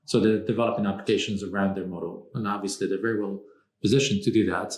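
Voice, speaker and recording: A low-voiced man originally from Morocco answers interview questions in a measured, thoughtful way, pausing often with "uh". The recording has a roomy echo around him. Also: steady tone, darkish tone. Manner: measured, thoughtful, frequent "uh" pauses. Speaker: podcast guest, strategy consultant. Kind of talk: conversational interview.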